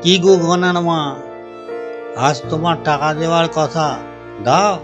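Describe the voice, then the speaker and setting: A man's voice: fairly high pitch, steady tone, measured pace, over music, lightly acted. A man voicing a sanctimonious, sweet-talking older village moneylender with an unctuous, preachy way of speaking, in a cartoon. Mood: chiding. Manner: unctuous, pious, chanting devotional phrases. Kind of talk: Bengali cartoon voice acting.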